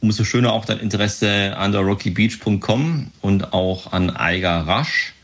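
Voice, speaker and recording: A man giving a recorded congratulatory greeting in a measured, courteous manner. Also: on the low side, a bit bright. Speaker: guest sending a congratulatory message.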